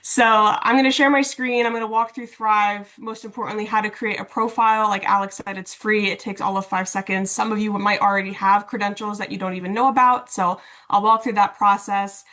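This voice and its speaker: Casual woman leading a software walkthrough. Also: mid-range voice, fairly even intonation, a little fast, few pauses. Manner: casual, conversational explaining. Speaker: instructional designer and LMS administrator presenting. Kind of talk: informal webinar software walkthrough. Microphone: video call.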